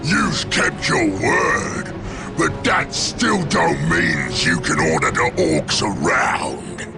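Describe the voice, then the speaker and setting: A brutish ork warboss from a video game, growls in a very deep, gravelly voice. He is blunt, boastful and hungry for battle, swinging wildly in pitch. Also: sing-song, takes it slowly, over loud music, exaggerated voice. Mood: defiant. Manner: blunt, belligerent. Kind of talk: video game cutscene acting.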